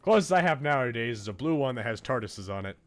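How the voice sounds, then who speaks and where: A man's voice: mid-range voice, lively intonation, quick pace. A man chatting casually as a live game streamer, with playful, rambling asides. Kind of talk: live gaming commentary, casual chat. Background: music.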